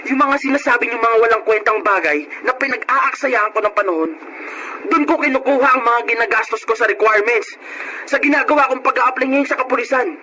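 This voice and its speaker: An actor with a Filipino accent playing a devoted young son and new police officer in a radio drama. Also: male voice, very high-pitched, brisk, bright, forceful tone, slight background noise, poor audio. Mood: hurt, reproachful. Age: young adult. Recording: radio drama with music bed.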